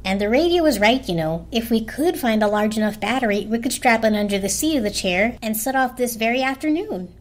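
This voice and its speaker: A woman's voice: a little high, expressive, a little fast, few pauses, close to an everyday voice. Woman as a sensible, earnest storybook toaster. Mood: mild reproof. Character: reasonable, mildly reproving toaster leader.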